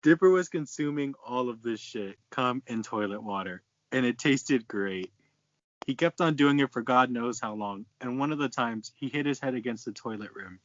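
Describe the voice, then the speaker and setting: A man's voice: medium pitch, animated, normal speed, no echo. A young man reading a story and bantering casually with friends. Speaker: co-host reading fan fiction aloud. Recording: dull lo-fi voice chat audio.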